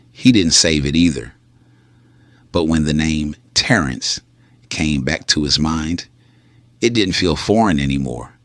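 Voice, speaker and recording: A man narrating a late-night romance story in a slow, hushed, intimate way. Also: very deep voice, no echo, studio quality. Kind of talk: scripted storytelling.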